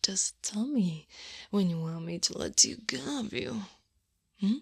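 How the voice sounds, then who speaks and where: A woman playing a knowing female Krampus monster in an intimate ASMR roleplay, firm yet tenderly comforting, in a soft voice that often drops to a whisper. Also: medium pitch, lively intonation, gravelly, breathy edge, no room sound, studio quality, exaggerated voice. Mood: tender, soothing. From Italy. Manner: slow, gentle, deliberate coaxing. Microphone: close-up microphone.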